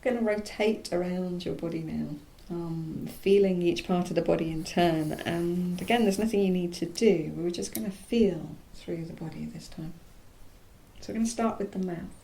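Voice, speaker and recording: Low, creaky woman guiding relaxation. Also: dark tone. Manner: soothing, unhurried, pausing mid-phrase. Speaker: yoga nidra teacher. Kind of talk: guided relaxation meditation. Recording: roomy echo, faint low rumbling noise.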